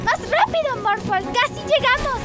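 A very high, childlike voice of an eager little girl adventurer in a children's cartoon. She gives bold, exclamatory commands over loud children's music. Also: normal speed, over a noisy crowd. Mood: excited, urgent. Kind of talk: children's cartoon dub acting.

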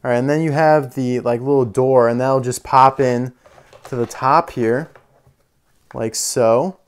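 A man's voice: mid-range voice, steady tone, a little slow, clear, resonant tone. A man giving casual, unscripted hands-on toy commentary, chatting and thinking aloud as he goes. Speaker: toy reviewer. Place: indoor room full of toy displays.

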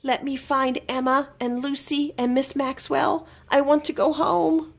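A woman voicing an excitable, anxious little boy mouse from a children's storybook, in an exclamatory, plaintive way. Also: high voice, measured pace, creaky, pitched above the performer's own voice. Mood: wishful.

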